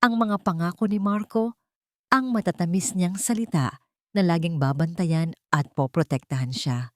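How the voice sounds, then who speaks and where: A woman's voice: fairly low pitch, normal speed, no room sound, clean studio sound. A woman reading a scripted dramatic story as narrator.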